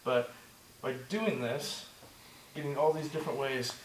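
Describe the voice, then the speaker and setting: A man teaching a movement drill step by step, encouraging and repeating himself, slightly hoarse and short of breath. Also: medium pitch, measured pace, roomy sound, distant mic, a little hiss or hum, low-quality recording. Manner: encouraging, repeats "just like that" and "good". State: losing his voice, a bit out of breath. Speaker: instructor. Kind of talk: step-by-step demonstration lesson.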